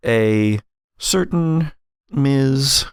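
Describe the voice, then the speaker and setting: Slow, gravelly male game master. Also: mid-range voice, animated, dry recording, studio quality. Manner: deliberate, with dramatic pauses. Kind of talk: atmospheric narration of an actual-play game.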